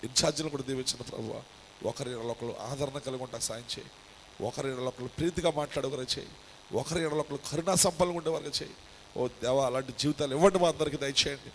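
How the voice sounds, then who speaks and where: A man leading a fervent congregational prayer as pastor, ending each line with an address to God. His voice surges loud and high at the peaks, then drops to a pleading murmur. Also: very fast, slight background noise, rough recording. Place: church service streamed live.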